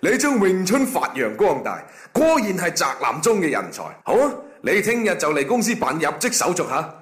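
A man's voice: a little high, big pitch swings, normal speed. A man playing an easily impressed job interviewer in a Cantonese comedy radio drama. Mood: amused, approving. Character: amused male job interviewer, easily won over. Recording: roomy echoing sound.

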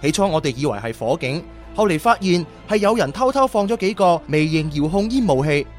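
Man acting as chatty young sidekick, high voice, swooping intonation, excitable, radio drama. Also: fairly quick, soft background music, close to an everyday voice. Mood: reporting, worried.

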